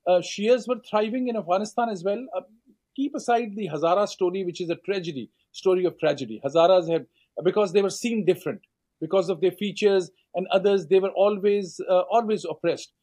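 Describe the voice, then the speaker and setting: A middle-aged man with a Pakistani accent answers at length in a measured, lecturing way, pausing on fillers and restarting phrases. His voice sits high for a man, with a mellow, dark tone. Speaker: author and scholar guest.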